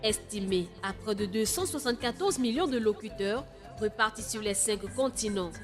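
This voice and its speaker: A woman reading a scripted radio station promo in a measured voice, with key words echoed back. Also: medium pitch, expressive. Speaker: station jingle announcer. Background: music.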